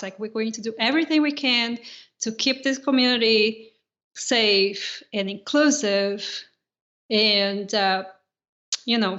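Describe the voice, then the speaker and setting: A woman's voice: fairly high pitch, a bit bright, lo-fi sound. A woman talking in casual podcast chat as a panelist, earnest and emphatic.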